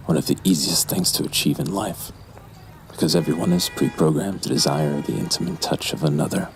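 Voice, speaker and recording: A man playing a brooding, lustful, menacing narrator in a horror audio drama, with slow, deliberate, breathy delivery. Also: rumbling low, steady tone, soft background music. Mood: brooding, smug. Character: brooding, lustful, menacing male narrator recounting a dark memory.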